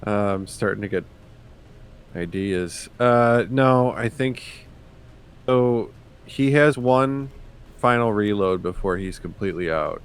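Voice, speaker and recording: Slow-talking, low-voiced man playing tabletop. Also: clean tone. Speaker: tabletop game player. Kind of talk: casual game chat.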